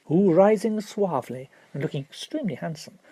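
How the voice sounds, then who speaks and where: A man reading literary narration for an audiobook in a formal, expressive storytelling manner. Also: high-pitched, brisk, husky, no room sound.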